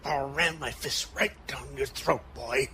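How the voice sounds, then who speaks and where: A man playing a gruff, resolute older captain in an audio drama. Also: mid-range voice, measured pace, pushed and bright, slight background noise. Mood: defiant, stern.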